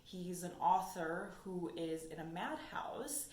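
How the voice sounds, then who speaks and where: A woman's voice: lowish voice, expressive, slow, a little mellow. A young woman whose first language is German, chatting casually about books in a haul video and thinking aloud as she goes. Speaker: book vlogger. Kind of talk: casual book haul chat. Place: sunny room indoors. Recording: echoey room with faint noise.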